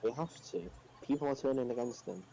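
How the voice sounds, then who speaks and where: A man's voice: mid-range voice, fast talker, dark tone, muffled recording. A man chatting casually as a podcast panelist about sport, blunt and critical.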